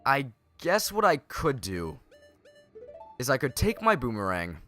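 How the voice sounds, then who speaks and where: Bright-voiced, nasal young man, sarcastic gamer. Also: mid-range voice, huge pitch swings, unhurried, faint music behind. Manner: rambling and sarcastic, self-correcting. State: nasal from a starting cold. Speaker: let's play host. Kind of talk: casual gameplay commentary.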